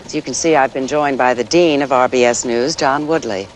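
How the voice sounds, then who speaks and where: A woman's voice: a bass voice, fairly quick. An actress playing a composed female network news anchor with a formal, orderly delivery in a TV bulletin. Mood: composed, serious. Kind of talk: 1980s TV news bulletin acting. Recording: faint noise, 1980s TV broadcast.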